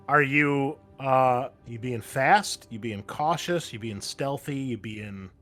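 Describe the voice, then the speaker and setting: A man's voice: medium pitch, slow pace, a bit bright. A man running a tabletop roleplaying game as game master, conversationally explaining rules and somewhat hesitantly correcting himself. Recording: background music under the voice.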